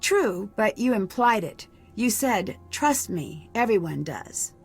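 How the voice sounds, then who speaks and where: A woman's voice: mid-range voice, lively intonation, slow, vocal fry, husky, soft background music, full character voice. An actress playing an inquisitive young female amateur detective in a video game, probing and observant.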